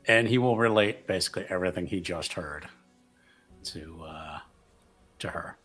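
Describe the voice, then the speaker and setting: A man's voice: sits low, moderate pace, fairly bright tone, faint music behind. A man playing a tabletop roleplaying game, casually narrating what his character does.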